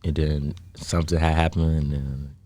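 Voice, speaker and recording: Young guy from chicago south suburbs, super deep flat voice, keeps saying um and like. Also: normal speed, no room sound. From South Holland, south suburbs of Chicago. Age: young adult. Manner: low-key, hesitant, many "um" and "like". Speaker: guest musician. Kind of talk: casual interview chat.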